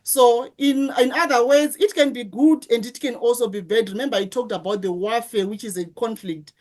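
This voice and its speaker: A middle-aged woman from Zimbabwe with a Zimbabwean accent, giving a lecture-style presentation, pausing to ask rhetorical questions and restarting her phrases. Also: fairly high pitch, fairly even intonation, a little mellow. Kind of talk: conference presentation.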